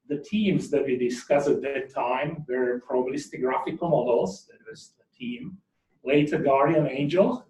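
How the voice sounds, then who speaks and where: A middle-aged man with a Slovak accent speaks as an academic at an informal conference. His pitch is average, and he is picked up from a distance in a reverberant room. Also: warm tone. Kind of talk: informal conference talk with reminiscences. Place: indoor lecture room at a workshop. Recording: echoey room, distant microphone.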